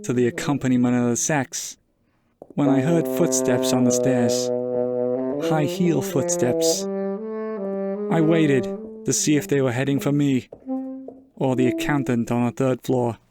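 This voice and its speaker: An actor playing a world-weary, wry 1940s private investigator in a hardboiled noir audio drama, deadpan and measured. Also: male voice, mid-range voice, close to an everyday voice. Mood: brooding, wry. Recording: saxophone music under the voice.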